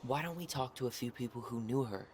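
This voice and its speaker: A man's voice: medium pitch, fast, noisy background, lightly acted. A man playing a wounded, stubborn young man in naturalistic video game dialogue, earnest and blunt. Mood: probing. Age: young adult.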